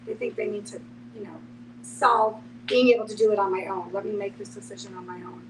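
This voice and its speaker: A woman's voice: mid-range voice, steady tone, brisk. A woman explaining her thoughts reflectively in a group discussion, often saying "you know" as a podcast panelist. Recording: noisy, distant microphone, background hum.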